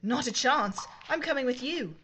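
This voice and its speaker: Lady acting as posh noblewoman reporter, arch and poised, highish voice, radio drama. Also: animated, normal speed, sharp tone, a little hiss or hum. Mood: arch, assured. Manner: arch, poised, cutting, formal diction. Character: haughty, self-possessed young British noblewoman turned reporter.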